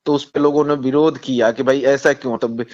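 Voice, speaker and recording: A man with a Bihari accent speaking as a politician in a phone interview, insistent, repeating himself and halting as he argues. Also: mid-range voice, steady tone, darkish tone. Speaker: communist party politician. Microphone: phone call. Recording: dull lo-fi phone call recording.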